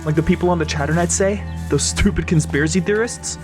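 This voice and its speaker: A man's voice: on the high side, fast talker, music in the background, lightly acted. Defiant, idealistic teenage son acted by a man. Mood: challenging. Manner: earnest, defiant. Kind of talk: audio drama acting.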